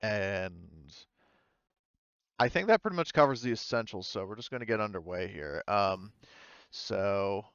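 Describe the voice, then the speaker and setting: A man acting as game master, narrating a tabletop roleplaying game in a halting, conversational way. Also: lowish voice, lively intonation, gravelly, a bit bright, clear, resonant tone, no echo, highs cut off.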